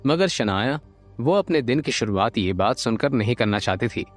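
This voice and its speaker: Expressive male drama narrator, lively pitch. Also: moderate pace. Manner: expressive, suspense-building. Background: light background music.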